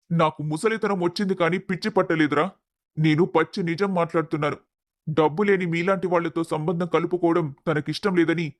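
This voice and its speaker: A man's voice: on the high side, natural voice. A man playing a blunt, indignant old village man who talks in a scolding, emphatic way in a dramatized story. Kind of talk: dramatized moral story acting.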